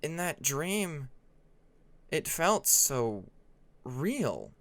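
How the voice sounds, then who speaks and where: A man voicing a troubled young male dragon in a fantasy audiobook, questioning with drawn-out, emphatic words. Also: fairly high pitch, very animated, fairly bright tone, dry recording, exaggerated voice. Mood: bewildered, anxious.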